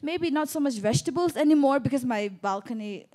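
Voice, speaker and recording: A woman's voice: high-pitched, animated, fairly quick, a little mellow. A woman moderating a casual onstage conversation, searching for her words as she goes.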